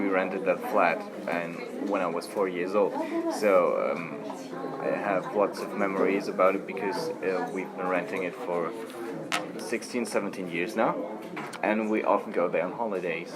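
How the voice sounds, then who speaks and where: A man with a very deep voice answers steadily and pauses on frequent ums. A loud crowd chatters all around him. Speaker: interviewee. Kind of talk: casual interview answer. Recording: loud crowd noise behind.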